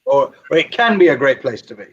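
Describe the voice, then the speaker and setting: A man from Ireland and Birmingham telling his own story as an interview guest, measured and reflective, with some Arabic phrases. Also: medium pitch, sing-song, slightly rough. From Ireland and Birmingham, England. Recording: dry, slightly dull lo-fi audio.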